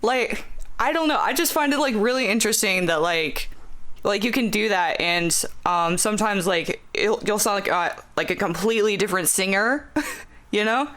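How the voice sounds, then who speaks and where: A man's voice: sits high, moderate pace, bright, forceful tone. Male podcast guest, rambling casual chat, lots of filler, laughs often. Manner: rambling, full of "like", frequent laughs. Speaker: podcast guest, music fan.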